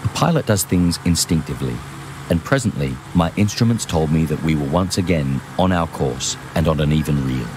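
A man reading a first-person memoir as scripted narration, with measured, deliberate phrasing. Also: extremely low pitch, lively intonation, background noise. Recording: music behind the voice. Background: music.

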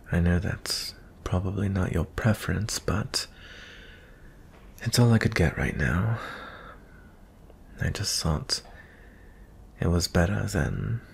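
A man playing a gentle, weary young prince in a fantasy ASMR roleplay, speaking softly and intimately in a breathy, husky voice with frequent pauses. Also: deep voice, a little hiss or hum, full character voice. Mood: gentle concern. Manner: slow, hushed, pausing often.

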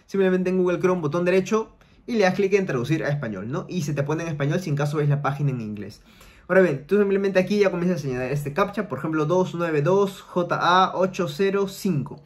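A man's voice: high-pitched, expressive, brisk. A young man hosting a casual screen-share tutorial, chatty and explaining each step with filler tags, recorded in a small room at home. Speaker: online money tutorial host.

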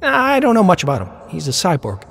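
Eager, timid chipmunk character for story narration, raised pitch, hesitant and excitable male reader. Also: fairly quick, gravelly, husky, in a large hall, full character voice. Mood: eager, nervous. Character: timid, eager chipmunk avatar offering a job.

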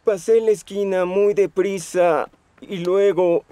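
Mellow, apologetic cartoon worker. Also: male voice, high voice, steady tone, slow. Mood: sorry. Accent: Latin American. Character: apologetic, regretful male worker.

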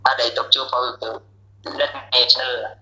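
A man from Indonesia chatting casually as a call guest, giving brief, low-key answers over a phone speaker. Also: little pitch movement, normal speed, bright, forceful tone, poor audio. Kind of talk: casual chat. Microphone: phone call played over speaker.

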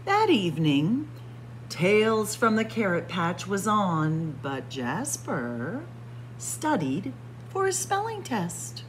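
A woman reading a picture book aloud in a dramatic, suspenseful story-time style, sometimes hushed for emphasis. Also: mid-range voice, slow pace, a little hiss or hum, poor audio. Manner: suspenseful, expressive, drops to hushed whispers for emphasis. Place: indoor library room with bookshelves and windows.

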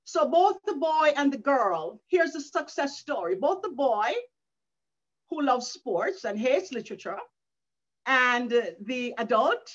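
A woman's voice: on the high side, fairly bright tone. An older woman from the Caribbean, an author and educator, speaking earnestly on a video call from her living room, with frequent restarts. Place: living room at home, indoors. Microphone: video call webcam. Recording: dull lo-fi call audio.

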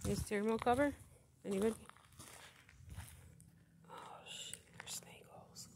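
Slow-talking woman on phone video. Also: fairly high pitch, whispered. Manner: slow, offhand, cursing and laughing. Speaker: vlogger exploring. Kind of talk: casual handheld vlog narration. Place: outdoors in open desert. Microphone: phone camera held by the speaker. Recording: outdoor phone audio with clear wind noise.

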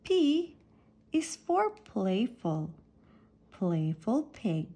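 A woman reading an alphabet picture book aloud for children, deliberately setting apart each letter and phrase. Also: medium pitch, very animated, warm tone, slightly rough.

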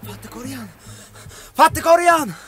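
A man's voice: extremely high pitch, animated, measured pace, a bit bright, faint music behind, exaggerated voice. An actor playing an eager, defiant street gang boy in a Swiss German dialect radio play, blurting out his words. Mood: urgent.

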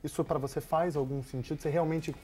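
A man's voice: a little high, steady tone, fast talker, a loud crowd. A man co-hosting a TV talk show, asking the guest questions with ironic jokes. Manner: joking, ironic. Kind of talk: casual talk-show banter. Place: bright TV studio set.

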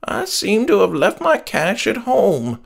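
A man voicing a sweet, flustered old lady in an audiobook, speaking in a slow, drawn-out way. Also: sits high, huge pitch swings, creaky, pitched above the performer's own voice, full character voice. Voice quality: whistling through thin lips.

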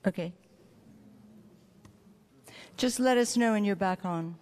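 A woman in her forties moderating a conference panel with a handheld mic, lively and joking between questions. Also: medium pitch, slow. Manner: lively, chatty, joking asides. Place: large conference hall with audience. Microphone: handheld microphone. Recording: long hall reverb.

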